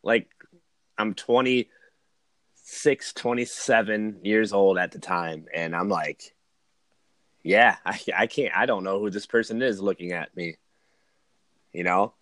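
Casting: male guest, about thirty-one, fairly deep voice, informal personal storytelling full of "like" and "man", dry recording. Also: takes it slowly, pauses often, fairly bright tone, no room sound. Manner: informal, slangy, uses "man", "dude" and "like". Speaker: podcast guest, podcaster. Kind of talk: casual chat, personal storytelling.

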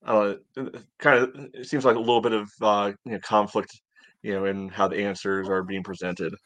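A man co-hosting a sports podcast, chatting casually and thinking aloud in a halting, conversational way. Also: on the low side, flat delivery, fairly bright tone. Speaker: podcast host.

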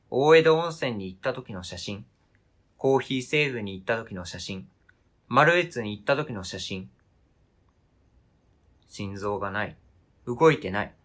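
A man's voice: mid-range voice, a bit bright. A man acting a stubborn, hurt partner in an amateur radio drama, questioning intensely and insistently. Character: stubborn, hurt partner fiercely attached to an old toy.

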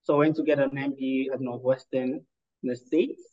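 A man's voice: medium pitch, fairly even intonation, mellow, muffled recording. A man from Ghana, a lawyer and entrepreneur, answering interview questions in an articulate, analytical way. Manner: articulate, analytical, measured with fillers.

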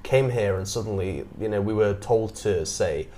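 A man's voice: lowish voice, moderate pace, dark tone. A British man interviewing a guest in a chatty, curious way, with rambling questions. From Britain. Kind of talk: casual interview chat. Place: living abroad.

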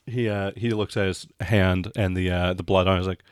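A man acting as game master, improvising narration for a tabletop game in a conversational, halting way. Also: deep voice, steady tone, clean tone, no room sound, clean studio sound.

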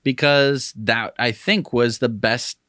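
A man's voice: mid-range voice, slow pace, bright, forceful tone, no echo, clean studio sound. A man co-hosting with conversational commentary, offering his own opinions in informal, qualified asides. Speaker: co-host.

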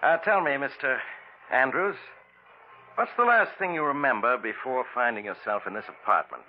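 Calm male doctor, played by an actor, 1940s radio thriller. Courteous, measured explanations with varied pitch, faint noise on old recording. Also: phone-quality sound, natural voice. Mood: calm, probing. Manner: measured, hesitant, polite.

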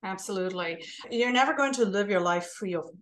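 A woman's voice: mid-range voice, lively intonation, a bit bright. Woman in her 40s, Indian-American blended accent, thoughtful interview guest recounting her journey. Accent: mixed Indian and American. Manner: thoughtful, measured storytelling. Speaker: podcast guest. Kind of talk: reflective interview talk.

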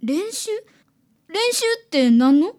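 A woman's voice: high-pitched, very animated, slow, mellow, heavily acted. A woman playing a young, devoted elder sister as a polite, sincere client in a radio drama. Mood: anxious.

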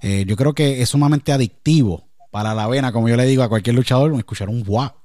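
A talkative Puerto Rican man with a middle-pitched voice, speaking in an emphatic, conversational way. He keeps asking if the other person follows him. The sound is dry and close. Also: quick pace, darkish tone, dry recording. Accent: Puerto Rican Spanish. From Puerto Rico. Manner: talkative, emphatic, repeats "me entiendes". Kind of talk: casual conversation.

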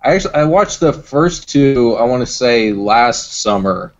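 A man's voice comes through a bit dull and lo-fi, at an average pitch. He is a guest talking in a casual, conversational style. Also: animated, normal speed. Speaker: podcast guest. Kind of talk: casual chat.

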